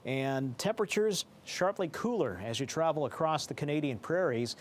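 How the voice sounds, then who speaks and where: A man's voice: a little high, animated, moderate pace. A man presenting a television weather forecast in a formal, explanatory broadcast manner. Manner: formal, explanatory broadcast delivery with technical phrasing. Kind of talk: live weather forecast commentary.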